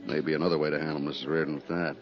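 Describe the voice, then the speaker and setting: An American actor playing a detective's agreeable, easygoing colleague in a 1950s radio drama, folksy and dryly humorous. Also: male voice, rumbling low, fairly even intonation, very fast. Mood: casual, helpful. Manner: easygoing, folksy, dry humour. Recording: dull old radio recording, music behind.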